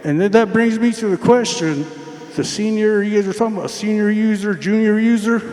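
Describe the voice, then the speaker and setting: An earnest man, higher-voiced than most, speaking up at a community meeting. He presses his questions emphatically and sometimes stumbles over repeated words. The sound rings out in a large, reverberant hall. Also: brisk, darkish tone. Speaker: community member raising questions. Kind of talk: public comment at a community meeting. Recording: echoey hall with long reverb.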